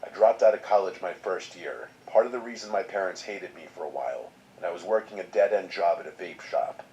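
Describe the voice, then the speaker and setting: A man reading a first-person horror story aloud in a steady, scripted narration. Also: deep voice, fairly quick, low-quality recording.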